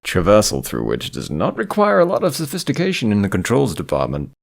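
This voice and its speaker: A man's voice: fairly low pitch, huge pitch swings, fairly quick, vocal fry. A man narrating a scripted video essay, with theatrical, sardonic emphasis.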